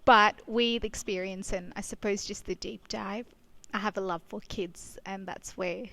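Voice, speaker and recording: A woman's voice: mid-range voice, steady tone, measured pace, vocal fry, a bit bright, no echo. A woman in her thirties from Kenya, a speech pathologist, chatting as an interview guest with lots of fillers and restarts. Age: 30s. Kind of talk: casual interview chat. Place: regional town in Australia.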